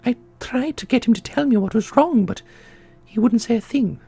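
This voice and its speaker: A woman playing an ancient female custodian in a fantasy audio drama, dignified and sorrowful, speaking in a formal, measured, gentle way. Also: on the high side, gravelly, slightly rough, soft background music. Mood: sorrowful, solemn.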